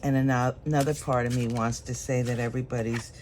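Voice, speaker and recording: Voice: mid-range voice, flat delivery, a little mellow, slight background noise. A tarot reader giving a solo reading straight to the viewer, thinking aloud while drawing cards. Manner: unhurried, thinking aloud as cards are drawn.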